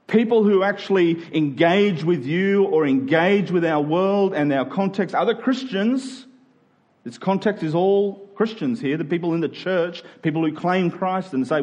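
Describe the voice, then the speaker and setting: Higher-voiced man preaching conversationally. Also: darkish tone. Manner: asks rhetorical questions with check-in tags like "right?" and "okay?". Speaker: pastor preaching to a congregation. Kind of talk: conversational expository sermon.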